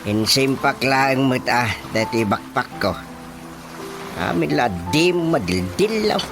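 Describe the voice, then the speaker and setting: A laconic old village chairman in a radio comedy-drama. His voice is creaky, with a Filipino accent and short, grunting, evasive replies. Music plays underneath. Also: medium pitch, animated, takes it slowly. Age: older.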